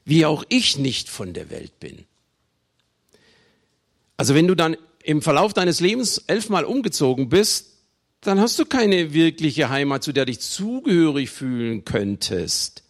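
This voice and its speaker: Male preacher from Germany: creaky voice, swooping pitch, emphatic phrasing with pauses, speaking to a congregation. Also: very animated. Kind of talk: sermon to a congregation.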